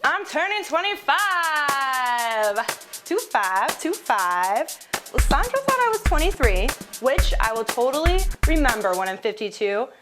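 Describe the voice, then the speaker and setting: A bubbly woman in her twenties talks straight to the viewers with a fairly high voice. Clear music runs behind her as she introduces her segment. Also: a little slow. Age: 20s. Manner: bubbly, excited. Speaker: co-host. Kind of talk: casual vlog-style talk.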